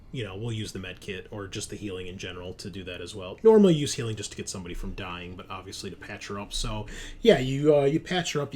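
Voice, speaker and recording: Male game master, lowish voice, halting informal narration, explains rules, noisy room recording. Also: darkish tone. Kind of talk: improvised narration of a tabletop roleplaying game. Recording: clear background noise, room ambience.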